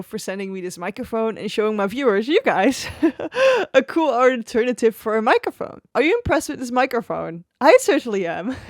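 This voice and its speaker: A woman's voice: medium pitch, no room sound. Chatty woman streamer, dry close mic. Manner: chatty and easygoing, with frequent laughs. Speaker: streamer reviewing gear. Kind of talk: casual tech review talk. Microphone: close XLR studio microphone on an arm.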